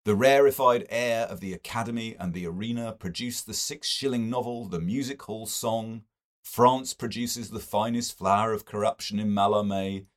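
A man's voice: fairly low pitch, pitched above the performer's own voice, natural voice. A man voicing a solemn mystical sage in an audiobook, speaking in oracular, rhetorical phrases. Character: solemn mystical male sage, warning in occult tones.

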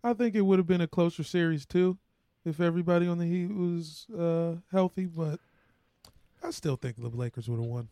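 A man's voice: a little high, moderate pace, mellow, no echo, studio quality. An American man co-hosting a podcast with casual, chatty sports banter. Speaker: podcast co-host.

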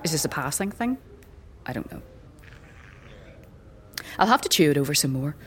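A woman as an aged female cynic, slightly low pitch, blunt archaic slang, weary wit, fantasy radio play. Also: sing-song, moderate pace, a bit bright, a little hiss or hum. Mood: troubled, doubting. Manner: wry chuckles, blunt archaic slang, addresses listener as boy. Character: very old striking woman, sardonic, weary, doubting death-cult priestess. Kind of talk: fantasy audio drama dialogue.

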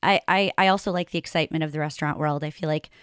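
A woman's voice: deep voice, fairly even intonation, rapid-fire, a bit bright, clean tone, dry recording, studio quality. A woman hosting an interview over a video call, chatty, with many fillers and frequent laughs. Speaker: podcast host. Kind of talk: casual interview chat.

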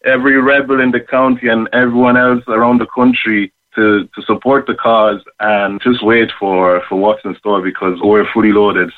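A man, speaking as an interview guest in a halting but enthusiastic way over a thin phone line. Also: on the low side, little pitch movement. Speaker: guest, rapper. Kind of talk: radio interview.

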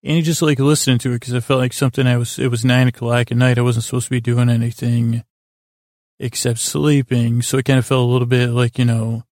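A man hosting a bedtime podcast in a gravelly voice, rambling in a halting, stammering, self-deprecating monologue. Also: medium pitch, little pitch movement, darkish tone, dry recording, studio quality. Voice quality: gravelly, creaky. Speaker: podcast host.

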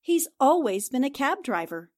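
An actress playing a shrewd newspaper reporter in a 1940s-style radio drama, questioning in a composed, pointed way. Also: female voice, high-pitched, big pitch swings, moderate pace, darkish tone. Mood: calmly contradicting. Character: shrewd, probing female newspaper reporter Anne Rogers.

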